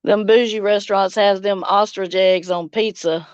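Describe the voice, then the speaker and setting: A woman's voice: medium pitch, fairly even intonation, normal speed, clear, resonant tone, no echo, lo-fi sound. A middle-aged woman hosting a casual livestream, chatting and answering viewer comments. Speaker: livestream host and homesteading vlogger.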